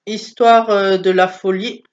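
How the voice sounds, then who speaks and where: Woman, blocked nose from a cold, chatty and halting, mid pitch, slightly dull lo-fi sound. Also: fairly even intonation. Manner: chatty, many hesitations. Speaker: book vlogger. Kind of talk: casual book haul chat.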